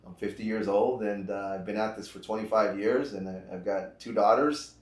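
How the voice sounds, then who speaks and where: Earnest fifty-year-old man, low voice, echoey. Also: fairly even intonation, normal speed. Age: 50s. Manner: earnest, heartfelt, repeats himself. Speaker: guest, finance broker. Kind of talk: casual interview chat.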